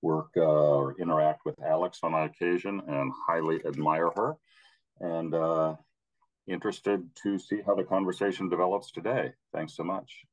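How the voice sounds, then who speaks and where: A man, a public health official, introducing himself in a relaxed way on a video call. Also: deep voice, moderate pace. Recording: muffled video call audio.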